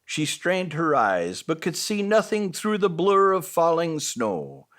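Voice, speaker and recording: Slow, very expressive man reading bedtime stories. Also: a little high. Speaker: storyteller reading as Santa.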